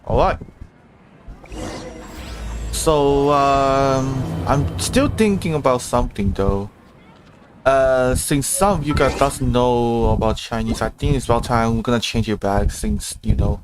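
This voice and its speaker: A man giving casual livestream commentary on a game in a halting, hesitant way. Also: mid-range voice. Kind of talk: casual livestream commentary, reading along with dialogue. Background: game music.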